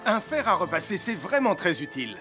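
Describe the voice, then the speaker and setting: A kindly cartoon firefighter explains safety rules in a steady, reassuring way. His voice sits a little high. Lively children's music plays clearly beneath him. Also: brisk. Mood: steady advice. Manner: calm, reassuring instruction. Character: Sam, a friendly adult male firefighter. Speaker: safety narrator. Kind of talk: French cartoon dub. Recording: studio voice with loud cartoon music.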